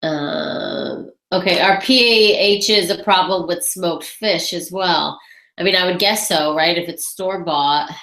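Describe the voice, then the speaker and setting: Congested low-voiced woman hosting a webinar. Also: measured pace, fairly bright tone, reverberant. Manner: chatty, thinks aloud, reads out viewer questions. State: congested with a head cold. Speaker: webinar host. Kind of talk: casual Q&A on a webinar.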